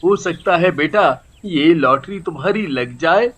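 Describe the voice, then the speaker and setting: A performer voicing a kindly, grateful grown man who sells lottery tickets in a moral story, gentle and reassuring. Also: medium pitch, lively intonation, measured pace. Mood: kind, insistent. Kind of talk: animated moral story character acting.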